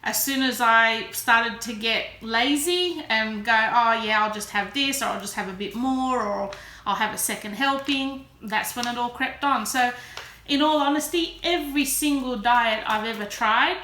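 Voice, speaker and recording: A woman's voice: fairly high pitch, normal speed, pushed and bright. A woman in her early forties talking casually and candidly in a chatty, self-deprecating personal vlog. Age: early 40s. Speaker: vlogger. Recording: roomy echo, faint background noise.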